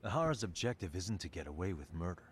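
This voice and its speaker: A game detective with a very low voice and dry, blunt delivery. He cuts through arguments with sardonic calm. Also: fast, slight background noise.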